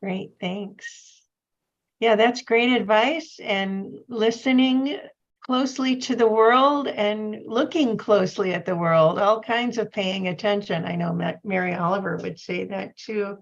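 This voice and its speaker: A woman's voice: medium pitch, measured pace, creaky, lo-fi sound. A woman hosting an online poetry event, speaking informally in an appreciative, courteous way over a video call. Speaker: host of a library poetry event. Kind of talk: informal hosting and thanking guests.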